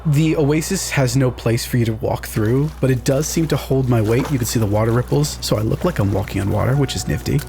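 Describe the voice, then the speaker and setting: A man's voice: medium pitch, brisk, vocal fry, few pauses, dark tone, slight background noise. A man taking part in a tabletop game, casually improvising narration of the scene.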